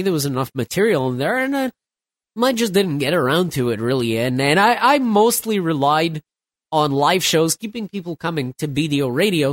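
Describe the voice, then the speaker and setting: A man's voice: fairly high pitch, dry recording, clean studio sound. A man in his thirties hosting his own podcast alone late at night. He is stuffed up from a cold and rambles loosely, with many restarts and fillers. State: stuffed up, getting over a cold. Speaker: podcast host.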